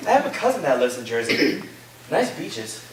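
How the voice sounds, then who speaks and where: A man's voice: mid-range voice, sing-song, normal speed, close to an everyday voice. A man playing a friendly, chatty young college student in a staged reading, casual and a little hesitant. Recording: echoey, distant room recording with faint noise.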